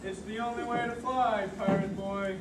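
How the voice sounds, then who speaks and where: A man's voice: high-pitched, steady tone, normal speed, close to an everyday voice. A man playing Noah as a worn-out, folksy ark builder in an amateur church puppet show. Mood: resigned. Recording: distant roomy recording, loud noise and crowd.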